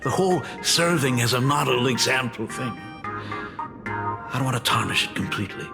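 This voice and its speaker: Fretful middle-aged dean, effusive game character, swooping pitch, long reverb with music. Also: male voice, lively intonation, moderate pace, gravelly. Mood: regretful. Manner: theatrical, effusive, fussing. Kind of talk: video game voice acting.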